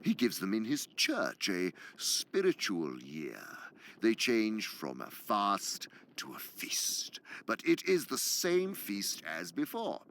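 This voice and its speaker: An actor playing an imperious senior demon in a radio play, lecturing pompously and theatrically with precise diction and hushed, emphatic drops. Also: male voice, lowish voice, huge pitch swings, slow pace, creaky, slight background noise, exaggerated voice. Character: senior male demon, imperious scholarly uncle, exacting mentor. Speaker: senior demon lecturing his subordinate nephew.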